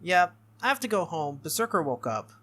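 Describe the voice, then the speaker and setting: A man's voice: on the high side, big pitch swings, fairly quick, sharp tone, voice pushed up, heavily acted. A man voicing an innocent, cheerful little girl from a noble family, childlike and eager, in a visual novel read-through.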